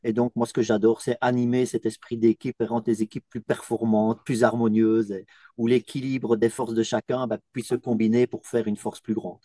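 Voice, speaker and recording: A man's voice: mid-range voice, level tone, moderate pace, dark tone, no room sound. A man from Belgium who runs a team coaching business, speaking in an interview testimonial.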